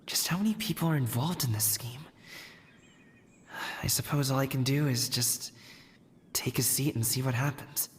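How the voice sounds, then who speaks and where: A man's voice: mid-range voice, moderate pace, long pauses, a little hiss or hum, natural voice. A young man voicing an earnest, uneasy son with a hesitant manner in a video game. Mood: uneasy, puzzled. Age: young adult. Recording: faint music behind.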